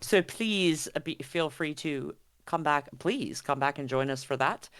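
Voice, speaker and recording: A woman's voice: low voice, expressive, a little slow, clean tone, no room sound, studio quality. A woman running a tabletop roleplaying stream as game master, chatting casually and praising her players in an encouraging way.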